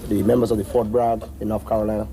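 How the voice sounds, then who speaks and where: A man gives an earnest testimonial in a fairly low, very mellow voice. Faint noise sits behind him on the old film recording. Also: flat delivery, fast. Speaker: military trainee leader giving testimonial.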